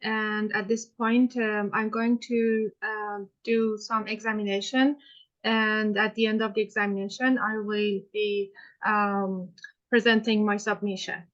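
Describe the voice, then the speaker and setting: A woman playing counsel in a mock hearing, asking questions hesitantly with many pauses. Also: medium pitch, little pitch movement, highs cut off. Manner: hesitant, many fillers and restarts.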